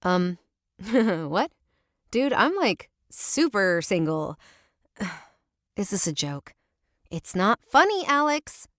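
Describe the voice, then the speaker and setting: A woman speaks in a dry, close recording at a medium pitch. She is a podcast host with playful, informal banter in a casual chat style. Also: very animated, slow pace, pauses often, fairly bright tone, dry recording.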